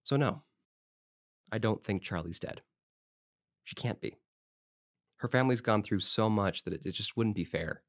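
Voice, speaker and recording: A man's voice: fairly low pitch, normal speed, long pauses, dry recording, clean studio sound, lightly acted. An actor playing a friendly, talkative small-town man with a wistful side, in a fiction podcast. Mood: wistful, subdued. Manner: talkative, casual, folksy. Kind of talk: conversational audio drama acting.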